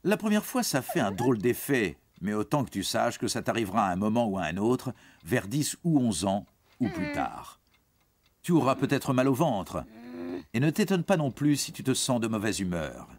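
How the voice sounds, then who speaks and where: A man's voice: medium pitch, sing-song, normal speed. A man reads scripted educational narration for young teens, explaining things in a reassuring, informal way and addressing the listener directly. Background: music.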